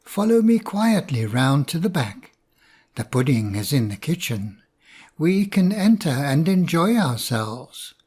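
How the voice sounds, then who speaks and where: A cunning fox talking his way out of trouble, read by a man. He speaks slowly and persuasively, in a fairly high voice. It sounds like a storybook read aloud. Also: very animated, a little mellow, husky, full character voice. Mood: scheming. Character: sly scheming male fox.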